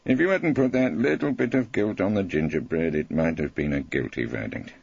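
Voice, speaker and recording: A man voicing a gloomy, grudging grey-haired police inspector who talks in a slow, stolid grumble. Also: sits low, creaky, darkish tone, breathy edge, dry recording, dull audio, full character voice. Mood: bitter.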